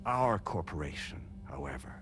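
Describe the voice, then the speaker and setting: A man playing a calm, formal corporate official in a video game cutscene, speaking in a measured, precise way. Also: deep voice, background noise. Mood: measured, reassuring.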